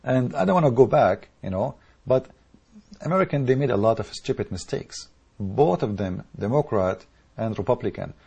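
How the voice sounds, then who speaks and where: Male commentator, English, dark mellow husky voice, heated monologue with repeated restarts. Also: mid-range voice, animated, moderate pace, highs cut off. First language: Arabic. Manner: rhetorical questions, repetitive restarts, emphatic. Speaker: political commentator. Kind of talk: impassioned monologue to camera.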